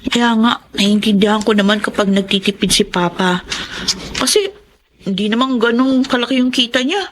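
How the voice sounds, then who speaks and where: A woman's voice: on the high side, fairly even intonation, moderate pace, slight background noise, close to an everyday voice. An actress playing a sweet, playful eighteen-year-old Filipino daughter devoted to her papa, in a radio drama. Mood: earnest, tender.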